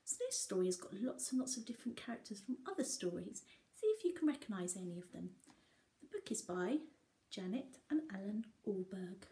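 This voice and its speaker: A woman reads a picture book as a teacher would to small children. Her delivery swings widely in pitch around a middling register. She is expressive and coaxes her listeners with questions. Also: fairly quick. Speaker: early years teacher reading to young children. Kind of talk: animated picture-book read-aloud with asides.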